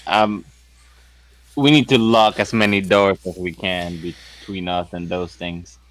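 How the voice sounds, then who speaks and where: A man's voice: deep voice, fairly even intonation, unhurried, fairly bright tone. A man playing a tabletop role-playing game, chatting casually and speaking for his character. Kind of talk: casual chat and in-character play.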